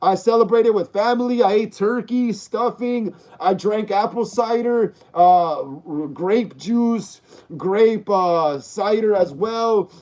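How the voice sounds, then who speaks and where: A man in his thirties hosting a casual livestream, reading out viewer comments and chatting in a relaxed, repetitive way with slang. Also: high voice, takes it slowly. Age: 30s. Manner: chatty and repetitive, uses slang like bro and dog. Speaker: livestream host.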